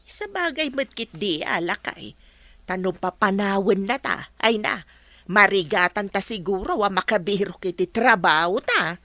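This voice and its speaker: A woman's voice: mid-range voice, expressive, moderate pace, sharp tone, no room sound. A woman playing an inquisitive, worrying wife of an old worker in an Ilocano radio comedy drama. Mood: curious, worried.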